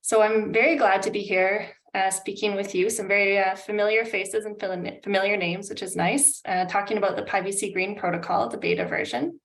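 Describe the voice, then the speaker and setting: A woman's voice: mid-range voice, vocal fry. A Canadian-accented woman in her thirties giving an informal, friendly professional slide presentation over a video call. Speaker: consultant presenting a webinar. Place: indoor room on a video call. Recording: roomy video-call audio.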